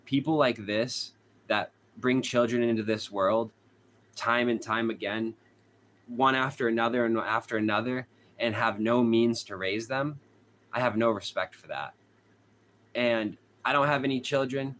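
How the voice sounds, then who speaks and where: A man's voice: mid-range voice, fairly even intonation, a little slow, fairly bright tone, slight background noise. A young man with a Boston accent hosting a casual true crime livestream, chatting with viewers, reading their comments aloud and laughing often. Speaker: true crime channel host. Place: hot room at home.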